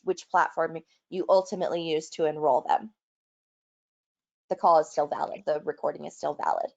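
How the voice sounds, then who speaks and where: A woman with a slightly low-pitched voice leads an online software walkthrough. Her delivery is explanatory and businesslike. The sound is a bit muffled, as from a lo-fi internet call. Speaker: software trainer and presenter. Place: online video call or webinar.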